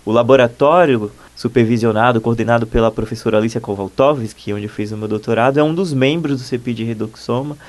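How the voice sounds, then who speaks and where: A man's voice: mid-range voice, brisk, hardly any pauses. 30s guy from bahia, researcher, explains stuff fluent and keen. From Bahia, Brazil. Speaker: postdoctoral researcher guest. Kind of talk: interview answers.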